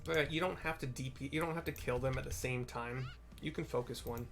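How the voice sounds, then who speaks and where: A man's voice: medium pitch, fairly quick, a little hiss or hum. A man giving casual, unscripted gameplay commentary, rambling and thinking aloud as he explains what to do.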